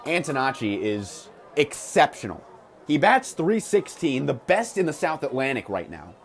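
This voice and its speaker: A man's voice: medium pitch, sing-song, normal speed. A man doing live radio play-by-play of a baseball game as the announcer, with clipped, descriptive calls. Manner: clipped, descriptive calls that swell on big plays. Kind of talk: live sports commentary. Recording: clear ambient ballpark noise behind voice. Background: ballpark ambience.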